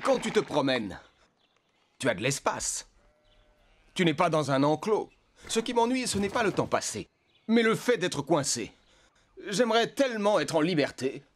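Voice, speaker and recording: A man voicing a restless young ranch horse in an animated series, earnest and expressive, full of longing for freedom. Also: fairly high pitch, moderate pace, pauses often. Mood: wistful, complaining. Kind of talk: animated series voice acting, French dub.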